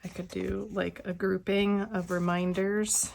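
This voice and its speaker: A middle-aged woman with a cold and a slightly hoarse voice, musing aloud in a casual plan-with-me vlog at home. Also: medium pitch, little pitch movement, darkish tone, a little hiss or hum. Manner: unhurried, musing aloud while crafting. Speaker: planner vlogger. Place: quiet home.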